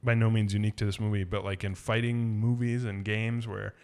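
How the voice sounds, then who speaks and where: A man's voice: fairly low pitch, flat delivery, brisk, a little mellow, clean tone. A man co-hosting a podcast, giving his opinions on movies in an emphatic, informal and casually sweary chat style. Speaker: podcast co-host, movie reviewer.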